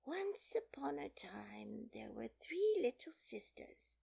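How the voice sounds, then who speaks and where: A woman voicing a drowsy, sulky male dormouse in a storybook, with a hoarse, feeble whisper and drawn-out words. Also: high-pitched, sing-song, warm tone, voice pushed up, exaggerated voice. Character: sleepy, sulky male dormouse telling a slow tale.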